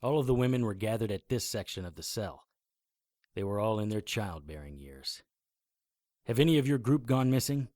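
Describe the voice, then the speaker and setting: An actor playing a grim, deadpan occult investigator who narrates his own adventure in a noir audio drama. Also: fairly low pitch, normal speed, dry recording, clean studio sound, lightly acted. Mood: guarded, urgent.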